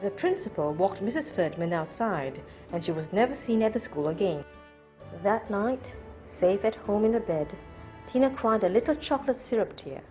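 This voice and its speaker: Lively woman reading a children's storybook. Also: mid-range voice, normal speed, soft background music. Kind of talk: expressive children's storybook read-aloud.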